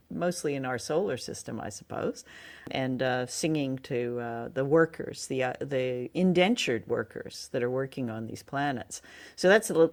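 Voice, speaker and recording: A woman co-hosting a podcast, chatting casually through a review and often searching for her words. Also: rumbling low. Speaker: podcast co-host.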